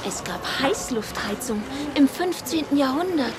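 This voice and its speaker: An actress in a radio drama playing an inquisitive, fond deaconess who also narrates the story intimately. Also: female voice, high voice, animated, moderate pace, heavy background noise. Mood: eager, informative.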